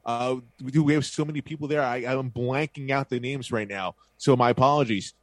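A man's voice: medium pitch, a little fast, no room sound. A man co-hosting a podcast in casual chat, talking with eager hype about upcoming events. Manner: enthusiastic hype-style promo delivery. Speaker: podcast co-host.

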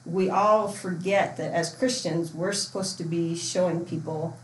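Woman from Portland, Oregon, lower voice, earnest and halting, interview guest in a roomy space with faint background hiss. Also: steady tone. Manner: earnest, halting with many fillers and restarts. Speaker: missions trip organizer and youth sports coach. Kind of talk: casual podcast interview. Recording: echoey room with faint ambience.

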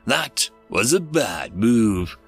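A man's voice: mid-range voice, very animated, drawn-out words, a bit bright, slightly rough, soft background music, exaggerated voice. A man voicing a vengeful, wounded human father in a science fiction storybook, with menacing, declamatory rhymed speech. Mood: triumphant.

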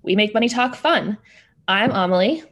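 A woman's voice: mid-range voice, lively intonation, moderate pace, fairly bright tone. Young woman podcast cohost, super casual, lots of likes, laughs a lot. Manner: chatty, many "like" fillers, laughs easily. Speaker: podcast co-host. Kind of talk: casual chat.

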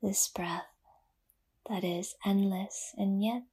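Slow, breathy woman guiding meditation. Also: medium pitch, steady tone. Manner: soft, half-whispered, gentle and unhurried with long pauses. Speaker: meditation guide. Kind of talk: guided yoga nidra meditation.

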